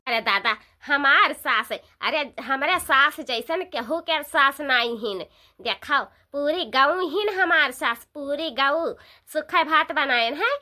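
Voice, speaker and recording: Woman acting village sister-in-law, cartoon comedy, Awadhi accent, high-pitched, bright, bossy, emphatic, repetitive. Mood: sarcastic grumbling.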